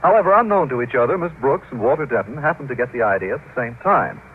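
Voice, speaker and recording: A man's voice: mid-range voice, lively intonation, fast, natural voice. A man narrating an old-time radio sitcom as its announcer, reading from a script. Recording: tinny old radio recording with noise.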